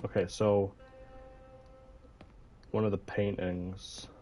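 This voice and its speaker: Young male streamer, slightly ill, low and warm, headset, muttering playthrough commentary. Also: level tone, takes it slowly, faint music behind. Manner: reads in-game notes aloud, muttering asides. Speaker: gaming streamer. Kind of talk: horror game let's play commentary. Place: indoor room at a desk.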